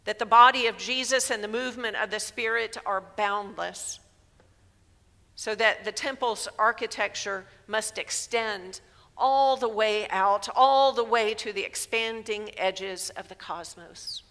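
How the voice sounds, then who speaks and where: A woman in her sixties preaching a sermon in a church sanctuary through a headset mic, measured and emphatic. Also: mid-range voice, sharp tone. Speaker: pastor. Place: large church sanctuary, indoors. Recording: long reverb of a church hall.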